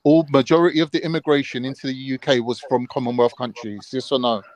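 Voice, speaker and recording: A man's voice: medium pitch, fairly even intonation, quick pace, slight background noise. A man arguing his point on a live panel, interrupting and pressing others with repeated questions. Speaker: panel guest. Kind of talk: heated debate on a live call-in panel.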